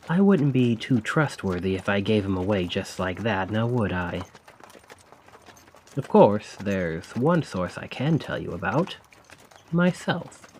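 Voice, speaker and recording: Man acting a slow, sly, vengeful carriage driver. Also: lowish voice, darkish tone, a little hiss or hum. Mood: sly, teasing. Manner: slow, sardonic, conspiratorial, taunting. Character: vengeful male carriage driver, sly, mocking, coldly controlled. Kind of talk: ASMR roleplay audio drama monologue.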